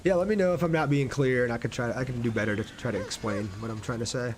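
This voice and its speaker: A man's voice: mid-range voice, fast talker. A man casually commentating on a game livestream and chatting with his viewers. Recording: clear background noise, game ambience.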